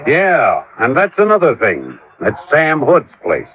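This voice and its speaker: A man's voice: mid-range voice, huge pitch swings, unhurried. An American man playing a plain-spoken, easygoing police captain in a 1950s radio drama, matter-of-fact and folksy. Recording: dull vintage radio recording.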